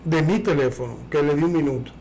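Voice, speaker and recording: A man's voice: on the high side, fast talker, a little mellow, a little hiss or hum. A man on a studio talk panel, arguing insistently against another panelist. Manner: insistent, argumentative. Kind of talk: studio debate.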